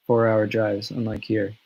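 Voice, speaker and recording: A man chatting casually from his home on a livestream, answering fan questions in a relaxed, rambling way with many fillers and restarts. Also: on the low side, takes it slowly, gravelly, breathy edge, rough recording. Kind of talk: casual live Q&A. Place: home studio in the countryside. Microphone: webcam or phone on a livestream.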